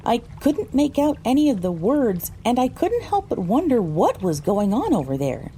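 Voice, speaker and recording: A woman's voice: on the high side, huge pitch swings, moderate pace, dark tone, a little hiss or hum. A woman voicing a pony who narrates her own story, reading scripted first-person audio drama narration. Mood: uneasy.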